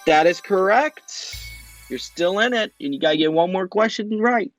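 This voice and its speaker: A man hosting a quiz show, reading questions with drawn-out pauses for suspense. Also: sits high, animated, soft background music. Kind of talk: hosting a voiced game show on a video game platform.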